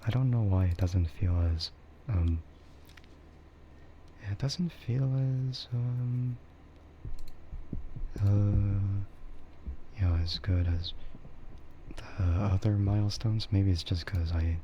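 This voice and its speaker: Slow soft whispery guy doing asmr stream chat, kinda muffled, keys clicking in the back. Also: lowish voice, a little hiss or hum. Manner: soft-spoken, often slipping into whispers.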